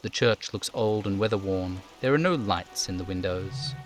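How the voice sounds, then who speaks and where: A man's voice: low-pitched, normal speed, soft background music. A man streaming a game live, reading its narration aloud and chatting casually in between.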